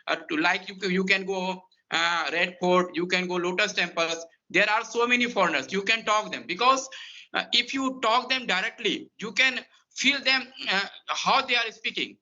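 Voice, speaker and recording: A man's voice: high-pitched, bright, forceful tone. A man with an Indian English accent giving English-speaking advice earnestly, repeating himself in broken phrases. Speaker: English tutor. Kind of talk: conversation practice advice. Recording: dull lo-fi sound.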